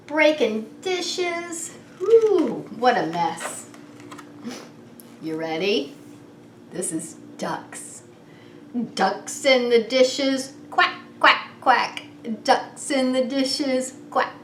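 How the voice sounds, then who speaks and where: A woman's voice: on the high side, fairly bright tone. A woman preschool teacher hosting story time, talking straight to young children with simple questions in a playful, singsong way. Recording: roomy echo, clear background noise.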